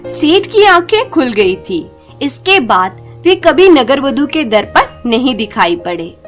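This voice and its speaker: High-pitched, animated woman storyteller. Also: slow pace, faint music behind. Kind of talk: scripted Hindi folk-tale narration.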